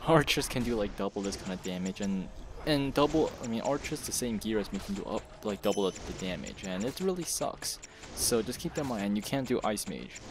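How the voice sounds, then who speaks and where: A man with a sore throat from being sick, talking through a casual game guide in a candid, rambling way that corrects itself as he goes. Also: lowish voice. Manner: candid, rambling, self-correcting, with brief laughs. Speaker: gaming video host. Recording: voice-over with clear background music.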